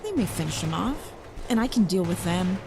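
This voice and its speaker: A woman's voice: on the low side, big pitch swings, moderate pace, vocal fry, reverberant, noisy background. A woman playing a cold, cunning, proud villainess in an audio drama, speaking in a haughty, clipped and formal manner. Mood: agitated, commanding. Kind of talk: scripted audio drama acting.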